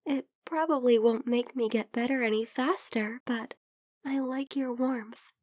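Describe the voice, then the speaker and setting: A tender young vampire girl played by a woman speaks in a high, gentle voice, very slowly and hesitantly. She sounds a bit under the weather, often dropping toward a whisper in a close, dry ASMR roleplay. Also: steady tone, dry recording, natural voice. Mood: teasing, playful. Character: caring young female vampire best friend, sick, tender. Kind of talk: ASMR roleplay, intimate, soft-spoken.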